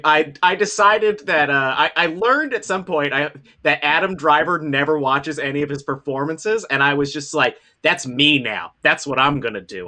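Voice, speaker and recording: A man's voice: a little high, pushed and bright. A man who works as a voice actor, chatting casually in a wordy, informal way. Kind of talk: casual chat.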